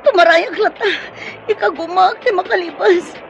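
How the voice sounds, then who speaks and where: A woman's voice: very high-pitched, moderate pace, a little hiss or hum. A woman with a Filipino accent playing a frightened young woman rebel, anxious and pleading, in a radio drama. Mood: panicked, desperate. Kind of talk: Filipino radio drama acting with inner narration. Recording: muffled lo-fi radio drama audio.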